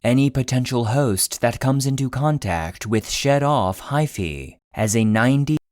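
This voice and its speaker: A man narrating a scripted fictional case file for a podcast in a measured, serious, clinical manner. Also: medium pitch, expressive, darkish tone, no room sound, studio quality.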